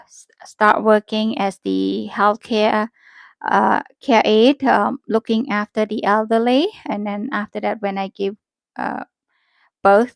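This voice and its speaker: A woman in her thirties from Cambodia, a native Khmer speaker with a Cambodian accent, chats casually as a vlogger in halting, self-correcting, simple phrasing. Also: medium pitch, vocal fry, no echo. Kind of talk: casual rambling vlog chat.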